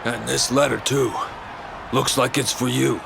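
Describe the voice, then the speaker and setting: An actor playing an alarmed male tournament official in a video game, speaking haltingly. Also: mid-range voice, fairly even intonation, vocal fry, noisy background.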